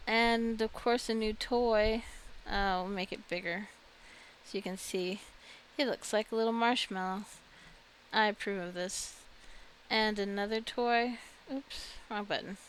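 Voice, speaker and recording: A woman's voice: medium pitch, fairly even intonation, slow, pauses often, slight background noise, low-quality recording. A woman giving casual video game commentary, chatting and thinking aloud as she reacts to what she finds. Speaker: video game streamer and host.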